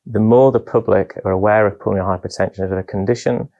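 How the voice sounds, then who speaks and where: A low-pitched male doctor with a gravelly voice speaks in an even, flat tone. His delivery is measured and earnest, like a conference talk. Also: muffled recording.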